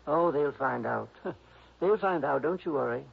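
An actor playing a sweet, dreamy, eccentric older composer, rambling and hesitant in a radio drama. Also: male voice, a little high, lively intonation, normal speed, vocal fry, husky, exaggerated voice. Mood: innocent, serene. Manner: rambling, fluttery, hesitant, chuckling. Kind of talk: 1960s radio drama acting. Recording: dull, lo-fi old radio recording.

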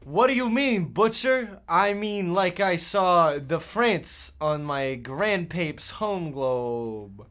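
Male actor as gee-whiz Depression-era kid, earnest, excitable, smooth high voice, radio serial spoof. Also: takes it slowly, few pauses, heavily acted. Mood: wide-eyed. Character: excitable, folksy Depression-era boy sidekick. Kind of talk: hobby old-time radio parody acting.

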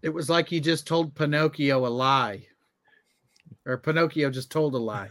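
A man's voice: a little high, moderate pace, a bit bright, no room sound, studio quality. A man co-hosting a comedy podcast in casual, joking chat. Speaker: podcast co-host.

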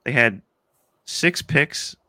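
A man's voice: mid-range voice, expressive, drawn-out words, bright, forceful tone, dry recording, clean studio sound. Congested man hosting a sports podcast. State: congested from allergies. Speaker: podcast host. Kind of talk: casual sports chat.

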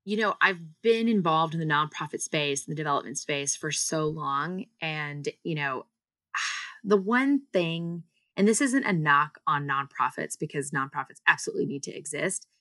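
A woman's voice: low voice, lively intonation, normal speed, sharp tone, no room sound. A woman from the US and Singapore, a founder giving explanatory, conversational answers as an interview guest. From United States and Singapore.

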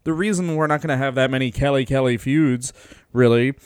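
Podcast co-host, male, smooth clear tone, dry close sound, laughs a lot. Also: medium pitch, brisk, no echo. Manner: laughs often, animated storytelling. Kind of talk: casual podcast chat.

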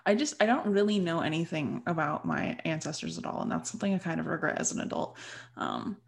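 A young American woman hosting a podcast, chatting in an animated, reflective way. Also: deep voice, quick pace, vocal fry. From United States. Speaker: podcast host. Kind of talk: casual podcast chat.

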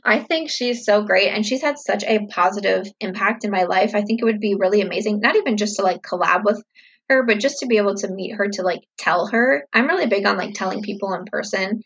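A woman's voice: medium pitch, a little fast, fairly bright tone, slightly rough, muffled recording. A woman in her thirties answering viewer questions in a casual, upbeat, reflective chat full of fillers. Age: 30s.

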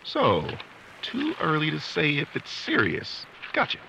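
A man's voice: fairly high pitch, wildly swooping pitch, a little slow, creaky, pushed and bright, a little hiss or hum, heavily acted, low-quality recording. A man playing an easygoing young guide in a supernatural audio drama, talking casually and explaining things. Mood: casual, teasing. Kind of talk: audio drama acting, conversational.

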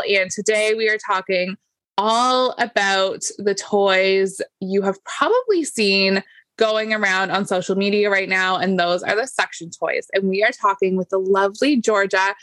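A woman's voice: mid-range voice, fairly bright tone, dry recording. Woman hosting a podcast, upbeat, dry close sound, faint music under it. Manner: upbeat, friendly interviewer. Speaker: podcast host. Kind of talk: casual podcast interview.

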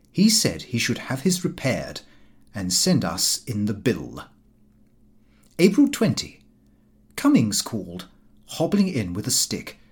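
A man reading a comic diary novel as an audiobook, with fussy, indignant storytelling. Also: medium pitch. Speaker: audiobook reader.

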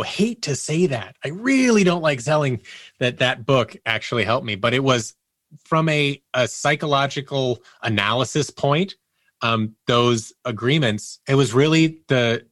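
A man's voice: medium pitch, moderate pace, a bit bright, dry recording, studio quality. A man speaking as a podcast guest, telling personal anecdotes in a candid, casual way. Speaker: podcast guest, audio producer.

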